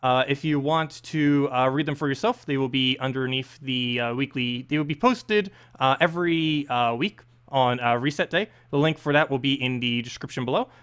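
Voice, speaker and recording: A man's voice: mid-range voice, normal speed, hardly any pauses, fairly bright tone, clear, resonant tone. A man hosting a channel and reading a story aloud in an amateur, casual way, stumbling now and then. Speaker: channel host and story reader.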